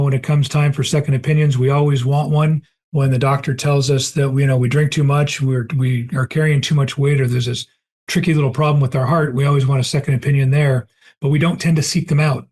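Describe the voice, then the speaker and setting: Middle-aged male podcast host with a hoarse voice, casual and chatty in a wrap-up, mid pitch. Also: fairly even intonation, fairly quick, darkish tone. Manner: chatty, many fillers and throat clearing. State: losing his voice.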